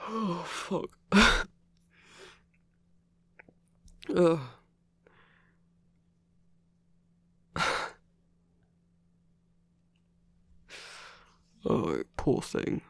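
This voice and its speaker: A grumpy yet tender werewolf boyfriend, played by a man for an intimate ASMR roleplay. The voice sits a little high for a male but is thick with gravelly creak. It is recorded close and dry, murmuring in halting, sweary phrases. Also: no room sound, heavily acted. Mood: tender, teasing. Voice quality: gravelly, husky, breathy. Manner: halting, sweary, hushed rambling with sighs.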